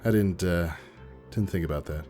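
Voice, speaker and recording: A man voicing a shy, awkward young stallion who talks haltingly. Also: low voice, mellow, soft background music, pitched below the performer's own voice, close to an everyday voice. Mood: sheepish. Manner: hesitant, halting.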